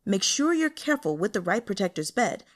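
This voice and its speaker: A woman's voice: mid-range voice, big pitch swings, fairly quick, lightly acted. A woman voicing a self-important male underling in a fantasy audiobook, pompous and commanding. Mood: bossy, warning. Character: bossy, self-important male underling ordering servants around.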